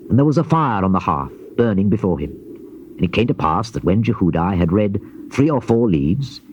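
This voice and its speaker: An actor playing a grave Old Testament prophet in a dramatized scripture reading, with solemn, declamatory and measured phrasing. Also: male voice, medium pitch, a little mellow, natural voice. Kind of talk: dramatized scripture reading, classical stage delivery. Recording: dull lo-fi old cassette, faint hiss.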